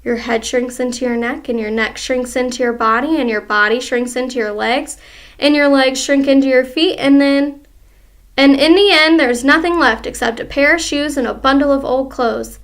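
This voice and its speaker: A woman's voice: high-pitched, steady tone, moderate pace. A woman voicing a nasty, gloating old man who jeers at and taunts his wife. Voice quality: jeering, taunting.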